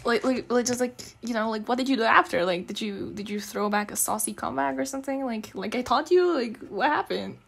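Young woman, slightly high voice, girlfriend ASMR roleplay, teasing, affectionate, slangy. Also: brisk, gravelly, hardly any pauses, a bit bright, breathy edge. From Eastern Europe.

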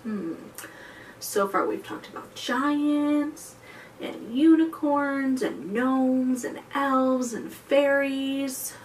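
Slow, high-voiced storytime woman. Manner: expressive, deliberate delivery for small children. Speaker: children's librarian, storytime host. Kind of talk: children's storytime presentation and read-aloud. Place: indoor room before a chalkboard wall. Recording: distant mic, faint background noise.

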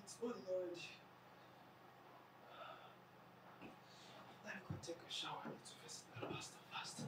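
A woman acting out a hurt, indignant young woman on stage, going from soft murmurs to shouted outrage. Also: sits high, expressive, moderate pace, heavy background noise. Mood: hushed, worried. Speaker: stage actor. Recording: echoey stage hall from far off.

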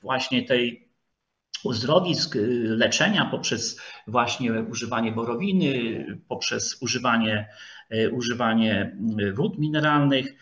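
A man giving a formal online history lecture, speaking haltingly with frequent hesitation sounds and pauses as he searches for words. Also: mid-range voice, a bit bright. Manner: formal, addresses listeners politely. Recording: dull lo-fi video call audio.

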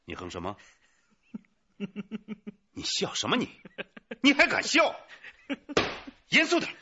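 An actor playing a blunt, hot-tempered police detective in a radio drama. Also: male voice, high-pitched, wildly swooping pitch, slow pace, sharp tone, breathy edge, heavily acted. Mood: angry. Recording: dull, muffled lo-fi audio.

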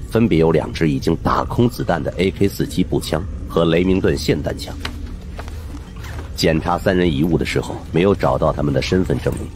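A man narrating a suspense horror audiobook in an expressive, dramatic storytelling manner. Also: sits low, slight background noise.

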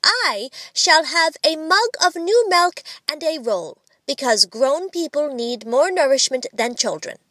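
A woman's voice: sits high, very animated, measured pace, few pauses, sharp tone, no room sound, voice pushed up, exaggerated voice. A woman voicing a bossy little girl who plays the strict mother, prim and grave in her emphatic lecturing. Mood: prim, firm.

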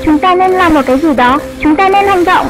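Casting: eager little bat grandchild played by a woman, high youthful voice, polite and earnest, cartoon dialogue. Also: fairly quick, over music, rough recording. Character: young flying fox grandchild, eager and earnest.

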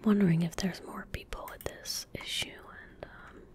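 A young woman speaking calmly and gently in a soft, breathy whisper, giving casual ASMR gameplay commentary close to the microphone. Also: on the low side. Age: young adult. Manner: calm, gentle, unhurried. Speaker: ASMR gaming streamer. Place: small indoor room at a desk. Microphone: close desk microphone.

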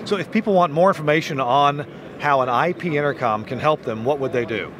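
A man's voice: fairly high pitch, brisk, crowd noise. A middle-aged or older man hosting a trade show interview, speaking into a handheld microphone. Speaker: interviewer and host. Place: trade show booth, indoors. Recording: clear busy trade-show floor noise.

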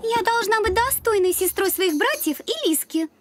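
A woman's voice: very high-pitched, expressive, normal speed. A woman voicing a vain, dramatic teenage dog girl in a cartoon, whiny and theatrical. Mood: resolute. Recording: loud cartoon music behind voice.

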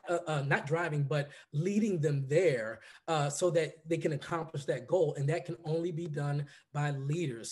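Man, 30s, slightly high voice, earnest, punchy, webcam at home. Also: a little mellow. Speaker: panelist. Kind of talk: panel discussion. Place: room at home. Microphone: video call.

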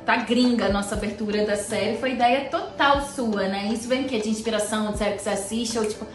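A woman's voice: a little high, fast talker, reverberant, distant mic, faint music behind. A young woman with a Brazilian accent hosting a casual interview chat, bubbly and teasing, often laughing. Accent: Brazilian Portuguese. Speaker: host. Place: indoor living room on a sofa. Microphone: handheld mic from a few feet.

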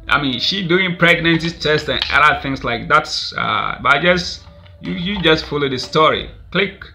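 A man's voice: a little high, sharp tone. A Ghanaian man in his thirties, filming himself at a webcam in a small room, rambling through celebrity gossip with constant fillers and frequent laughter. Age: 30s. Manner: rambling, laughs often, many "I mean" fillers. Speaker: vlogger. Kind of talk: casual celebrity gossip commentary. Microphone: webcam at desk.

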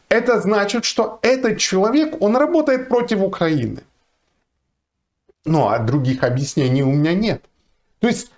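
A man's voice: high-pitched, a little mellow. A man in his forties, a lawyer from Ukraine, giving earnest, emphatic commentary to camera while seated in a small room, arguing his point with frequent fillers. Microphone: fixed camera, seated facing it.

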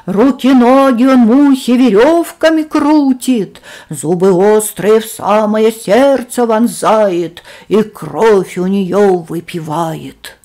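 A woman reading a children's poem aloud in a rhythmic, lively sing-song manner. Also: on the high side. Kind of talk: expressive children's verse reading.

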